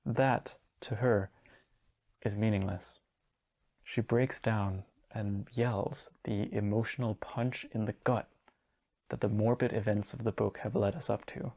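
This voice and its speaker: A young man in his twenties whose first language is Danish, talking through a video essay with a low, mellow voice. His delivery is wry and sardonic, full of offhand asides. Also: steady tone, unhurried. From Denmark. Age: 20s. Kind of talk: video essay book recap.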